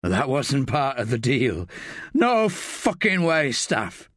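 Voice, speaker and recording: A dying man, weary and resigned, speaking slowly with an earnest urgency, as a reader puts him on. The voice is a little raised and animated, close and dry. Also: mid-range voice, no echo, heavily acted. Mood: urgent, resigned.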